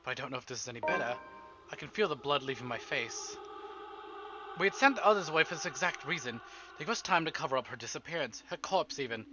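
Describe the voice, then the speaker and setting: A man's voice: mid-range voice, animated, fast, highs cut off. A man reading dramatic first-person narration aloud from a story game as a streamer. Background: game music.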